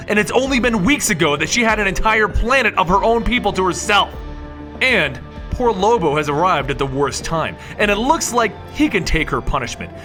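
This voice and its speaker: High-pitched, animated man narrating stories. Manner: emphatic, addresses listeners directly. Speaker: comic book story narrator. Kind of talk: lively scripted storytelling narration.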